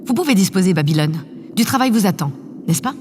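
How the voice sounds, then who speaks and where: A self-assured woman president of a spaceship, played by an actress, gracious and effusive in an audio drama. She coaxes and flatters grandly, at an average pitch. The room rings with reverb and carries a faint noise. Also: quick pace, lightly acted. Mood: gracious, commanding.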